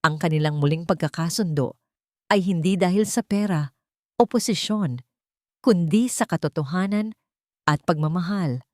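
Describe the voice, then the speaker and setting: A woman's voice: lowish voice, moderate pace, pauses often, a little mellow, dry recording, studio quality. A woman reading scripted dramatic story narration in an earnest, sentimental manner. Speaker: narrator and host of a storytelling channel.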